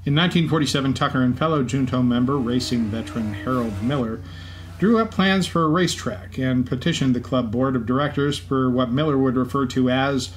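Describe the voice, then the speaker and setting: A man's voice: medium pitch, brisk. A male co-host reads scripted narration in a mid-range voice. There is faint event ambience from a racetrack behind him. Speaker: podcast co-host. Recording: faint background ambience noise.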